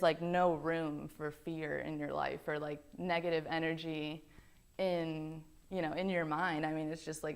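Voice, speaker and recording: A woman's voice: deep voice, vocal fry, clean tone. A young woman, a college student, introducing herself casually with lots of ums and likes. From Belgium. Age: young adult. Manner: chatty, many ums and likes.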